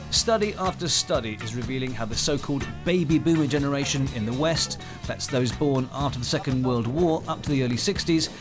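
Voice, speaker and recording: A man reads a travel report as voice-over in a measured, even tone. Music plays clearly behind him. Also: medium pitch. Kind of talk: scripted narration.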